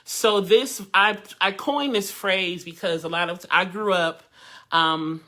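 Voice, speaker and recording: Young male voice teacher, high bright nasal twang, chatty and candid. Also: slow pace. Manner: chatty and candid, addresses the group directly. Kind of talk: live online vocal workshop. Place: living room at home.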